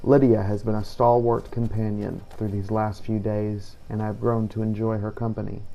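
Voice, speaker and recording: A man narrates a fantasy game journal in a solemn, measured way. His voice is mellow and stays very even, delivered slowly, with faint noise behind it. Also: lowish voice, creaky, muffled recording. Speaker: player narrating a role-play playthrough. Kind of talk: scripted in-character journal narration.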